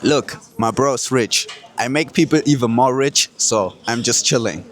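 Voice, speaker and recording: A man's voice: mid-range voice, unhurried. Brash, swaggering man hypnotist. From Kurdistan. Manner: boastful, profane street slang. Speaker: hypnotist and host. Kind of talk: brash motivational talk to camera.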